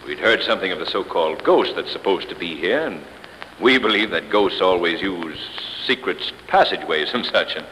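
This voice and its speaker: An actor playing a composed, urbane gentleman investigator in 1930s radio style, suave and assured. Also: low voice, lively intonation, normal speed. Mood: courteous, amused. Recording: reverberant, hissy old 1930s radio recording.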